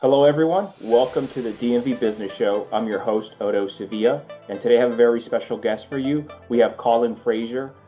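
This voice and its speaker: Male host, mellow dark timbre, average pitch, conversational interviewing. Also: fast talker, hardly any pauses, faint music behind. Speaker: podcast host.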